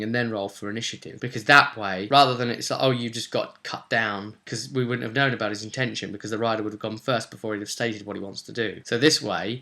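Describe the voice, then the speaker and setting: A man's voice: lowish voice, pushed and bright. A man recapping a tabletop roleplay session as game master, telling it in a rambling, casual way with bits of acted dialogue.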